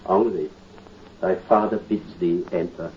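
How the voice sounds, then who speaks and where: A parish priest speaks gravely and with measured calm in a somewhat low voice. The recording is an old 1930s broadcast, dull with a faint hiss. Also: male voice.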